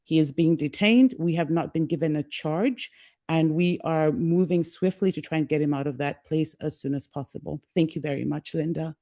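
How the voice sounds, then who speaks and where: A woman's voice: low voice, moderate pace, dark tone, dry recording. A woman from Zambia who lives abroad, making an earnest, urgent appeal as a guest speaker at an online press conference. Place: home, based abroad.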